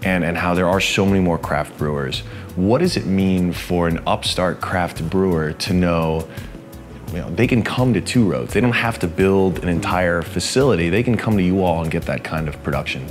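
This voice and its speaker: A man in his thirties hosting, asking interview questions in a measured, curious way. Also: low-pitched, soft background music. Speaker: show host, interviewer.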